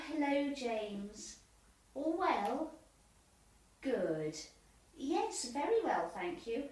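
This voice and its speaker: A woman's voice: sits high, a little mellow, full character voice. Woman acting a mild, apologetic committee lady, echoey. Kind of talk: amateur radio comedy acting. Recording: echoey room, far from mic, faint noise.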